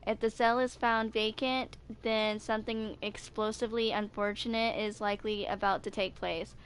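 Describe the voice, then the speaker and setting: A woman's voice: medium pitch, flat delivery, normal speed, a little hiss or hum. A woman in her twenties chatting casually and reading video game lore aloud as a gaming creator. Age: 20s.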